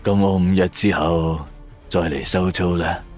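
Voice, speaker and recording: A man voicing an eerie, calm ghost landlord in a radio horror drama. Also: low-pitched, unhurried, soft background music, voice pushed down. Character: eerie bald ghost landlord, slow, calmly demanding rent.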